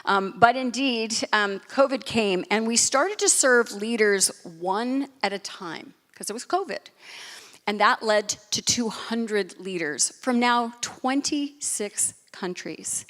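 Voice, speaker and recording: A woman speaking earnestly as an event co-host, in halting phrases with repeats. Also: a little high, a bit bright. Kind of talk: spoken welcome speech on stage. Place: large reverberant venue. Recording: long hall reverb.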